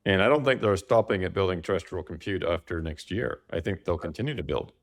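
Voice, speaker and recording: Deep-voiced man, measured and analytical. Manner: measured, tentative, reasoning through figures aloud. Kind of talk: casual analytical discussion of finance.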